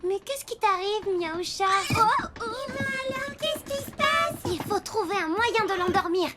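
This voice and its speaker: A performer voicing an excitable, adventurous little pirate girl captain in a children's cartoon, exclamatory, bossy and playful. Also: very high-pitched, measured pace, noisy background. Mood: puzzled. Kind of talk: children's animated cartoon acting. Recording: loud cartoon music behind the voice.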